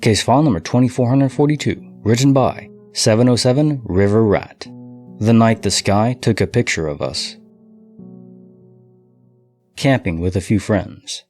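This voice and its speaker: A man hosting a podcast, reading listener stories aloud and musing on them in a relaxed, speculative way with light chuckles. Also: on the low side, unhurried, faint music behind. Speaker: podcast host.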